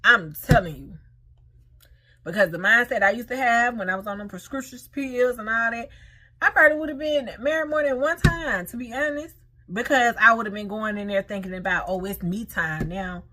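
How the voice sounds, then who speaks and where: A woman's voice: fairly high pitch, moderate pace, pushed and bright, a little hiss or hum. An American woman hosting an informal talk, moving between reading aloud and conversational commentary full of questions to her listeners. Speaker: online host reading and commenting on a marriage lesson. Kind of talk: casual talk-through of read material with personal commentary.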